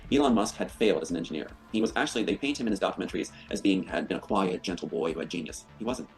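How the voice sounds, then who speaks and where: A man answering interview questions with a grandiose, halting delivery full of uh and um. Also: low voice, soft background music, rough recording. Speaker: guest interviewee.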